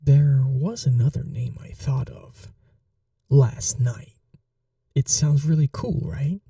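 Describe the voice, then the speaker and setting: A man's voice: mid-range voice, slow pace, vocal fry, warm tone, breathy edge, no room sound, studio quality, far from the mic, full character voice. A man voicing a playful, smitten yandere supervillain in an ASMR roleplay, chatty, vain and flirtatious, musing aloud with casual menace. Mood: playful, menacing. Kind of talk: ASMR roleplay monologue to the listener.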